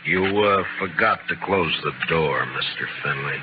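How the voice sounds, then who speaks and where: An actor playing a stoic, incorruptible frontier marshal, terse and dry, in 1950s radio western acting and narration. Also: male voice, extremely low pitch, a little slow, heavy background noise. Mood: dry, firm. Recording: muffled old radio broadcast.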